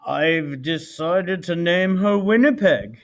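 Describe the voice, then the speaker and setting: A man's voice: on the high side, slow pace. Man as an earnest, kind-hearted young soldier vet. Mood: resolved.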